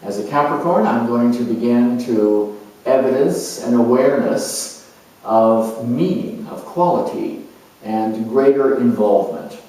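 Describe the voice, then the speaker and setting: Slow, deliberate man in echoey room. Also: mid-range voice, warm tone. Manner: measured, deliberate, with pauses. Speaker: astrologer and teacher. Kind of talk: explanatory introduction to camera. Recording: distant mic in echoey room.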